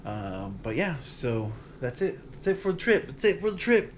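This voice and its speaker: A man's voice: fairly high pitch, big pitch swings, moderate pace, a little hiss or hum. A man in his thirties, a vlog host, chatting casually to camera from his living-room couch, rambling and laughing often. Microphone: camera across the room.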